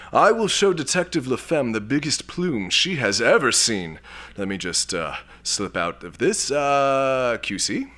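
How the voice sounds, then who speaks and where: An actor playing a vain, lecherous, cowardly space lord in a florid, grandiose manner for a comic sci-fi audio drama. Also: male voice, medium pitch, fairly bright tone.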